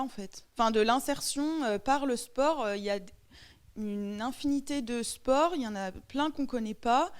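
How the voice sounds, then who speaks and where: Young French woman student, slightly high-pitched, nervous and hesitant in a group debate. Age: young adult. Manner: nervous, halting, many fillers. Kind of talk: classroom debate.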